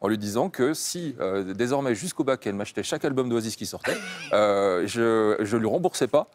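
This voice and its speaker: A man, a quiz show contestant, telling a relaxed story to the host in a television studio. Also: fairly low pitch, moderate pace. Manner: relaxed, storytelling, laughs easily. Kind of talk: casual chat with the host.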